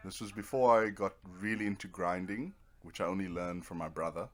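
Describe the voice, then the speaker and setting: Guy w a low voice chatting over his video game, rambly, kinda dry and self deprecating. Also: a little fast. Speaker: video game let's player. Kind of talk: casual gameplay commentary, rambling.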